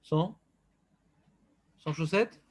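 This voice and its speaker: Joking high-voiced man teaching a class. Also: extremely slow, slight background noise. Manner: joking, banters with students, animated. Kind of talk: informal Torah class with questions to students.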